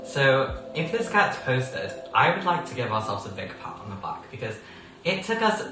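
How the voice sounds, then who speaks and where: Giggly teen host in a roomy space. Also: male voice, medium pitch, sing-song, moderate pace, over music. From England. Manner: playful, laughs often, rambling. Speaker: podcast host. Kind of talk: casual podcast chat. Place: indoors at a table. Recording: roomy sound from a distance with background noise.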